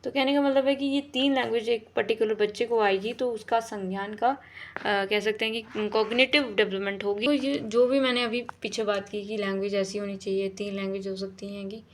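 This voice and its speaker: A woman's voice: fairly high pitch, slight background noise. A woman teaching an exam-prep lesson in a casual explaining way, often checking in and rephrasing her points. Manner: repeats "ठीक है" and rephrases often, with fillers.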